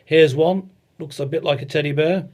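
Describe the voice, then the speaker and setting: A man's voice: fairly high pitch, fairly even intonation, normal speed, darkish tone. A man co-hosting a casual chat show, joking and reading bits of articles aloud. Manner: jokey, chatty, reads aloud. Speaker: podcast co-host.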